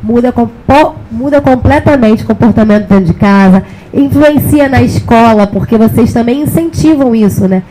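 A woman's voice: on the high side, normal speed, hardly any pauses, warm tone, slight background noise, low-quality recording. A woman moderating a panel on an indoor stage, asking questions into a handheld mic. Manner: leading questions, friendly prompts. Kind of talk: panel discussion. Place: indoor event stage with sponsor backdrop.

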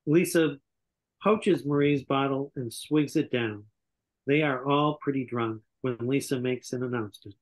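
Older male narrator for a screenplay read-through, mid-range, a bit halting, recorded over video call. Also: medium pitch, low-quality recording. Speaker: stage-direction reader. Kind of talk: scripted narration at a table reading. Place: indoor room on a video call.

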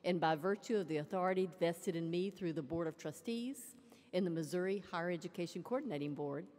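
A woman's voice: fairly low pitch, fairly quick, a little mellow. A woman presiding as college president, giving a formal, ceremonial commencement address from a lectern microphone. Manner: ceremonial, proclaiming. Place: large arena at a lectern. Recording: long arena reverb.

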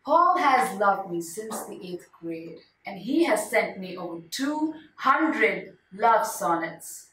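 A woman's voice: medium pitch, sing-song, takes it slowly, hardly any pauses, sharp tone, exaggerated voice. A woman playing a desperate, quirky wife refusing a divorce in a stage monologue, dramatic and emphatic, swinging between joking and pleading. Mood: hurt, pleading. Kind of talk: solo stage monologue performance. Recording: roomy, distant mic, faint noise.

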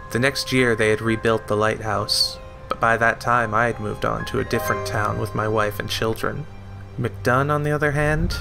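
A man's voice: fairly low pitch, creaky. An actor playing an earnest, uneasy younger lighthouse assistant who also narrates his memories in an audio drama. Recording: music under the voice.

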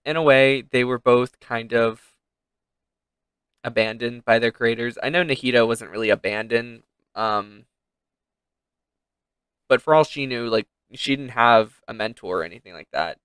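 Bright, clear man reacting casually. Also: mid-range voice, measured pace, long pauses, no echo. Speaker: streamer reacting to game trailers.

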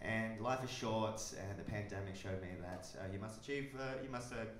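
A man in his thirties with an Australian accent doing an absurd stand-up set on a club stage, with awkward deadpan delivery. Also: lowish voice, normal speed, slight background noise. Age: 30s. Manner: awkward, deadpan, bursts into silly songs. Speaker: stand-up comedian. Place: comedy club stage with audience. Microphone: stage mic on stand. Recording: roomy club sound.

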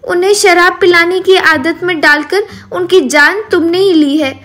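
A woman voicing an outspoken, protective village tailor's wife in an animated storybook tale. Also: extremely high pitch, a little slow, pitched above the performer's own voice. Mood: bitter, resigned.

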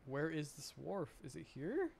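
A man's voice: on the high side, big pitch swings, unhurried, creaky, darkish tone, slight background noise. A man doing casual live gameplay commentary as a streamer, talking to himself in exasperated questions. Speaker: game streamer.

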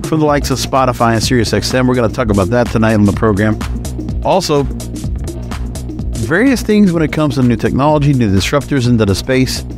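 A man's voice: mid-range voice, lively intonation, fairly quick, music in the background. A man hosting a solo podcast, giving news commentary in a conversational, loosely off-the-cuff way into a close microphone. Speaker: podcast host.

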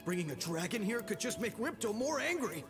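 A man's voice: sits high, big pitch swings, fairly quick. An actor voicing a nervous, fidgety young male cheetah in a video game. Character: jittery young male cheetah, clumsy worrier. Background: game music.

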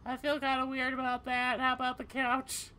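High-voiced woozy young cat girl played by a woman. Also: monotone, normal speed, pushed and bright. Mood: woozy, uneasy. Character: woozy young female cat, wry and aimless.

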